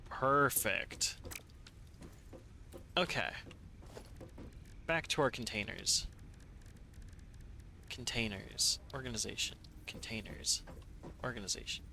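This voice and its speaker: Slow, bright-voiced male game streamer. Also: mid-range voice, expressive, vocal fry, a little hiss or hum. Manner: thinks aloud, playful self-talk. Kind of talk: live gameplay commentary.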